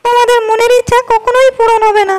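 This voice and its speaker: A woman voicing a proud, defiant young princess in a cartoon, speaking in a sharp, scornful way. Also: very high voice, level tone, fast talker. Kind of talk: cartoon voice acting.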